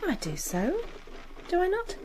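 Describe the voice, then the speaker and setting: An actress playing a gentle, careworn young working woman in a radio drama, plaintive and reassuring. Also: extremely high pitch, wildly swooping pitch, unhurried, a little hiss or hum, exaggerated voice. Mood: wistful.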